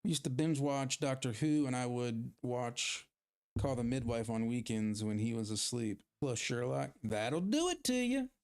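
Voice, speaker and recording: A man with a gravelly, creaky edge to his mid-pitched voice chats through a gaming livestream. He rambles loosely, trails off, and pokes fun at himself while he plays. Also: animated, measured pace, a little mellow. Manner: rambling, self-deprecating, chatting with viewers while playing. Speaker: streamer. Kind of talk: casual gaming livestream chat.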